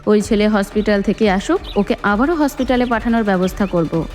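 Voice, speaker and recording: A woman's voice: medium pitch, a little fast, darkish tone, close to an everyday voice. A woman storyteller voicing a fiercely protective, hot-tempered middle-aged father with a commanding, emphatic delivery. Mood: angry, defiant. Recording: long reverb, music underneath.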